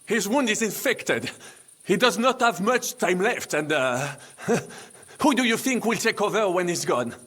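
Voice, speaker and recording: A slippery French nobleman in a dramatic video game, speaking in a high, husky voice with a French accent. He is theatrical and scheming, drops French phrases and swings widely in pitch over a music bed. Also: animated, measured pace, fairly bright tone, heavily acted. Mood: ingratiating. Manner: theatrical, wheedling, peppers speech with French phrases. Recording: music underneath the dialogue.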